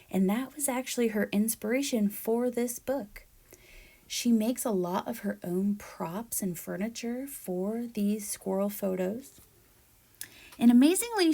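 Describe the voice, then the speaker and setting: Slow-paced woman reading to children. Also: mid-range voice, dark tone. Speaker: read-aloud storyteller, teacher-like presenter. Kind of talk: expressive children's picture book read-aloud.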